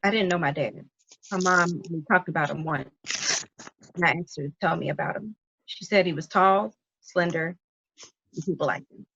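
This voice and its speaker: A woman's voice: fairly low pitch, moderate pace, creaky, long pauses, fairly bright tone, breathy edge, dry recording, lo-fi sound, exaggerated voice. A woman playing a hurt, exasperated young wife in a dramatic table read, speaking emotionally. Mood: wistful. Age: young adult. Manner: emotional, complaining.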